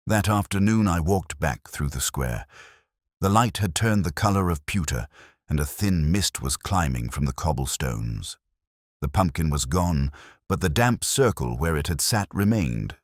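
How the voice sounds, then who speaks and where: A man playing an older, erudite detective, narrating a mystery in a reflective, measured, literary and wistful manner. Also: a bass voice, dry recording, studio quality, exaggerated voice. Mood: pensive, wry. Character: melancholy, erudite older male English detective recalling a case. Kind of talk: reflective scripted first-person mystery narration.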